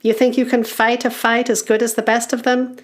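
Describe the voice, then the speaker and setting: A woman's voice: fairly high pitch, level tone, fairly quick, pitched above the performer's own voice, lightly acted. A woman acts out an older goblin uncle from a storybook, stern and commanding. He delivers his words like an ominous cautionary tale. Each phrase is dramatic and heavy with foreboding. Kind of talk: storybook character voice.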